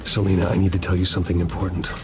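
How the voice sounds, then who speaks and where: An actor playing a grave, determined billionaire vigilante in a video game, earnest, clipped and controlled. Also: male voice, sits low, monotone, very fast, lightly acted. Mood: earnest, urgent.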